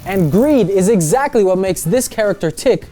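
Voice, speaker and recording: A woman's voice: medium pitch, lively intonation, normal speed, dark tone, faint music behind. A woman presenting a scripted countdown list with chatty, opinionated pop-culture commentary.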